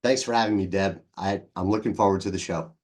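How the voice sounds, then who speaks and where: A man in his forties with a Philadelphia accent and a lowish voice, speaking as a podcast guest. He tells his career story in a relaxed, chatty way, with ums and quick restarts. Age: 40s. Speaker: guest, leadership coach. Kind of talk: casual interview.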